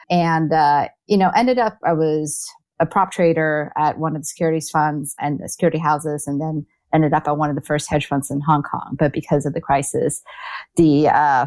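A woman's voice: deep voice, darkish tone. A woman in her forties from Taiwan, answering interview questions in a reflective way, with fillers and restarts. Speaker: guest, alumna.

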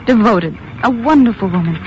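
An actress playing a gracious, composed colonial hostess with a polite manner in a 1950s radio drama. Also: female voice, medium pitch, expressive, fairly quick, natural voice. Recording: muffled, noisy old radio recording.

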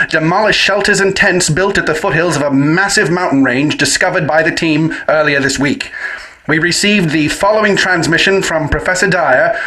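A bright 1930s newsreader. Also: male voice, on the high side, poor audio. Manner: formal, measured announcer delivery. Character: 1930s male wireless newsreader. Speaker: news announcer. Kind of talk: 1930s radio news reading.

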